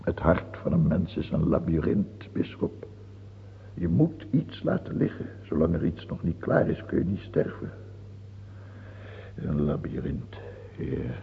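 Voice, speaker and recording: A man acting in a radio play as an old, ailing king, fearful and self-pitying, with a halting, muttering, brooding delivery. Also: rumbling low, lively intonation, creaky, slightly rough, exaggerated voice. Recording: muffled phone-like old radio recording, hiss and hum.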